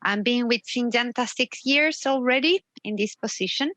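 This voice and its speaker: A woman's voice: fairly high pitch, moderate pace, a bit bright, no echo. A middle-aged woman with a Chilean Spanish accent giving an informal, chatty introduction as a guest speaker in a webinar. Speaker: technical manager, guest speaker.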